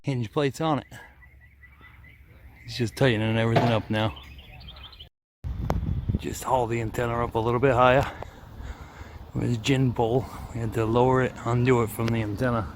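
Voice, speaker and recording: A man with a mid-pitched voice narrates casually as he works outdoors. His commentary is plain and off the cuff, like a home vlog. It is picked up on a handheld camera with faint ambient noise around him. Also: steady tone, normal speed, long pauses. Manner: chuckles at his own remarks. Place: outdoors at the foot of an antenna tower.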